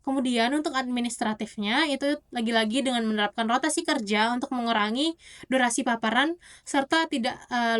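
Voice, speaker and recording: A young woman gives an explanatory oral presentation as a student, often halting to correct herself. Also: fairly high pitch, a bit bright. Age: young adult. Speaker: university student.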